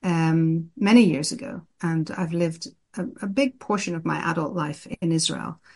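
Guest: middle-aged woman, low pitch, halting and searching for words. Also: steady tone, a little mellow, breathy edge. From Ireland. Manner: hesitant, many ums and restarts. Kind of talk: interview answers.

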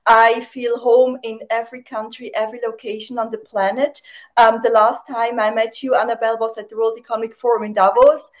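A woman from Switzerland, native German speaker, talking as a guest in a radio interview, with many "uh" and "um" pauses as she searches for words. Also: a little high, flat delivery. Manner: warm-spoken, many fillers, searching for words. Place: remote, not in studio.

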